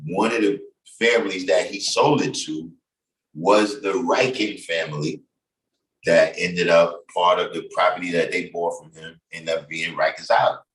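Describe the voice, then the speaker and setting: A man's voice: low-pitched, fairly even intonation, measured pace, fairly bright tone. A middle-aged man from the Bronx with a New York accent, talking loosely on his livestream with lots of fillers. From New York City, Bronx. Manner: loose, conversational, many fillers. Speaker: livestream host. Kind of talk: casual livestream talk. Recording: echoey room, distant from mic.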